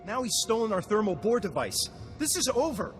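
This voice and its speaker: An actor playing a fed-up, mutinous soldier in a video game cutscene. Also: male voice, high-pitched, sing-song, fairly quick, in a large hall, faint music behind. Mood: exasperated.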